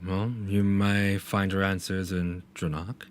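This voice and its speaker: A man voicing a formal, guarded female scholar of magical lore in a tabletop game, speaking in a measured, hesitant way. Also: deep voice, level tone, a little hiss or hum. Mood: pensive, helpful.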